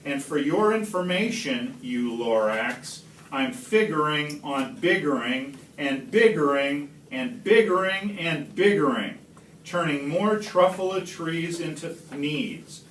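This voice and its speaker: A man's voice: fairly high pitch, animated, slow, a bit bright. A man voicing a greedy, defiant creature businessman from a storybook, blustering and emphatic in his delivery. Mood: indignant bluster. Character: defiant, greedy Once-ler creature yelling, self-justifying businessman. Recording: roomy, distant phone capture with noise.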